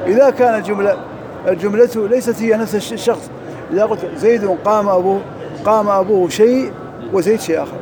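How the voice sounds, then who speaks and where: A man teaches Arabic grammar in a mosque. His high voice rattles off examples at a brisk pace and slows when he recites verses. A crowd murmurs clearly in the background. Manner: quick, drilling examples, recites verses slowly. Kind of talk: lesson parsing grammar and verse.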